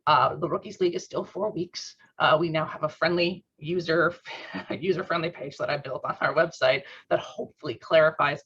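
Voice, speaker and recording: Gravelly, husky man on a video call. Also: a little high, a bit bright. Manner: informal, rambling explanations with fillers. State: rough, strained voice after a workout. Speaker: club board secretary. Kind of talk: online meeting update. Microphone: computer mic on a video call. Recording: muffled video call audio.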